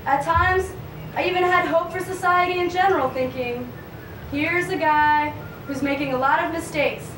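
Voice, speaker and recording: High-voiced woman performing in a noisy room. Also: moderate pace. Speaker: puppet show performer. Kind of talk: scripted live theatrical monologue. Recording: roomy, distant live recording with noise.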